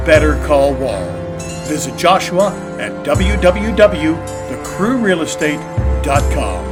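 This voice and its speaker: A male announcer delivers scripted host lines in old-time radio fashion. His voice is a little high, with clear music playing underneath.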